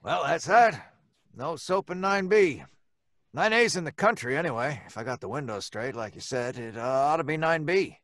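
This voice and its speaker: An actor playing a gruff but obliging apartment superintendent, blunt and colloquial, in old-time radio style. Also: male voice, a little high, expressive, normal speed, a bit bright, no room sound, clean studio sound. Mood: businesslike.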